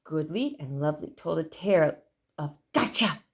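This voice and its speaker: A woman's voice: low voice, expressive, normal speed, slightly rough. A woman reading a picture book aloud to children expressively, stretching key words for emphasis. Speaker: library storytime reader.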